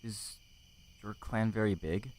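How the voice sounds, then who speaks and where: Naive young pet tomcat played by a man, low-ish voice, hesitant and full of questions, for an animal audio drama. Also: takes it slowly, darkish tone. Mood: curious. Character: curious, naive young male house cat. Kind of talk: audiobook fandub acting.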